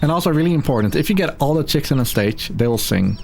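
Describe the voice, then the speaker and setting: A man's voice: on the high side, lively intonation, quick pace, creaky, darkish tone, soft background music. A man giving casual live commentary as he speedruns a video game. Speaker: speedrunner commentating own run.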